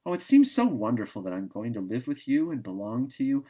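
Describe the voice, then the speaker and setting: A man's voice: medium pitch, huge pitch swings, a little fast, dark tone, slightly rough. A man voicing a talkative, imaginative eleven-year-old orphan girl in a storybook, earnest and eager. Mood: cheerful, chatty. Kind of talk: storybook read-aloud.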